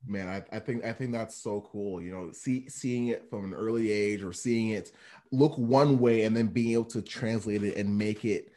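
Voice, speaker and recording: Low-voiced man hosting, effusive. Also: normal speed, dark tone. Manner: encouraging, effusive, repeats words. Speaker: interview host. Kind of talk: casual interview talk.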